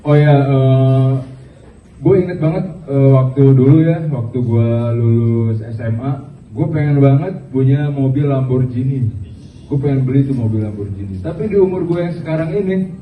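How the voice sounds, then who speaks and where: A man, doing halting, filler-heavy stand-up at an open mic and slipping in English phrases. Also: medium pitch, a little hiss or hum. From Indonesia. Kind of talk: stand-up comedy. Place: small open mic venue, indoors. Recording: roomy, distant, tinny phone-like audio.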